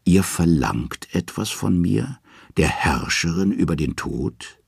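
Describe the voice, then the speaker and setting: A man performs a malicious goddess of the dead for an audio storybook. She is imperious and gloating, with a low, gravelly voice recorded very dry. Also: animated, slow, no room sound, heavily acted. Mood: cold, offended. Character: haughty, malicious queen of the underworld.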